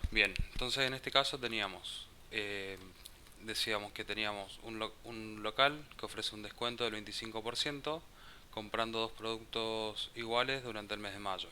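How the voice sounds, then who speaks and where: Low, bright-toned male teacher. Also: steady tone. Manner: slow, halting, thinks aloud with restarts. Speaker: programming teacher. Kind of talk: live coding class explanation. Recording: faint hiss.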